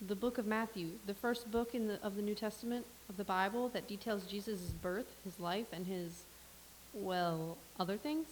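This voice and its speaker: A woman's voice: mid-range voice, moderate pace, close to an everyday voice, low-quality recording. Woman reading a reluctant, evasive knower; medium voice, light hiss. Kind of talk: staged play reading.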